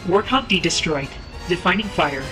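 Woman acting cold, low military drone computer. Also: moderate pace, loud music behind the voice, lightly acted, rough recording. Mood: cold, procedural. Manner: flat, procedural wording. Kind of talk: science fiction audio drama acting.

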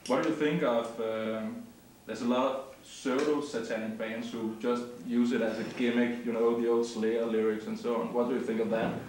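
A man's voice: mid-range voice, flat delivery, normal speed, a little mellow, slight background noise. A young man interviewing a musician backstage, asking questions with lots of hesitant fillers. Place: cramped backstage room indoors. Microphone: far camera mic. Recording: echoey room, distant camera mic.

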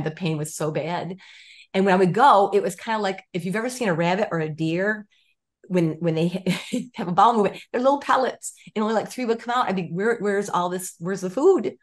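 A woman in her fifties, a guest on a video call, telling her story with lively, restarting sentences and acted-out quotes, gesturing as she talks. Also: lowish voice. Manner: animated storytelling with many restarts and quoted voices. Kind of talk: casual interview chat. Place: home room with stone wall, indoors.

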